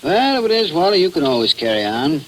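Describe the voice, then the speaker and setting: An actor playing a wry, easygoing small-town police chief in a 1940s radio drama, dry and understated. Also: male voice, a little high, very animated, quick pace. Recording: noisy old radio recording.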